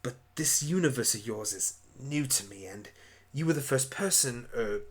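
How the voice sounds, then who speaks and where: Radio-play lead: male actor as a polite, rambling alien doctor, lonely and whimsical, with lively pitch movement. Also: moderate pace, husky. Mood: lonely, remorseful. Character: apologetic alien time-traveling doctor, lonely yet whimsical man. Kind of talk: amateur radio play acting.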